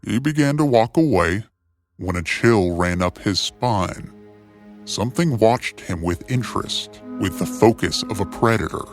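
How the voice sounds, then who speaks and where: A man narrates an adventure tale with dramatic, scripted phrasing. His voice is fairly low, and faint music plays beneath it. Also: expressive, measured pace. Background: soft music.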